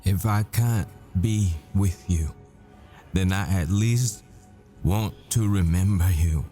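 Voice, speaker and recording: A man acts out a devoted, heartbroken professor boyfriend in an intimate ASMR drama. His voice is very low and dark, moving slowly in hushed, halting phrases, with music underneath. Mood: desperate, grieving. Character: grieving, devoted British professor boyfriend at world's end.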